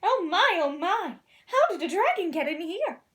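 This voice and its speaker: A woman voicing a blustering, alarmed old king in a children's storybook, loud, flustered and exclaiming. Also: very high voice, very animated, normal speed, pitched above the performer's own voice, exaggerated voice. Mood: alarmed, angry.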